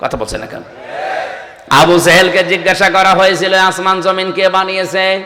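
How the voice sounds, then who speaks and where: A man's voice: high-pitched, steady tone, a faint crowd, a little hiss or hum. A man preaching a fiery, exhorting Islamic sermon to a gathering. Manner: exhorting, calls on listeners to answer louder; breaks into slow melodic Arabic recitation. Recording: echoing PA sound with long reverb.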